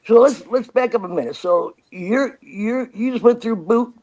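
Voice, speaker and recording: A man's voice: high-pitched, moderate pace, a little mellow, rough recording. A man hosting a podcast interview, talking bluntly and casually with slang and easy laughs. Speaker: podcast host.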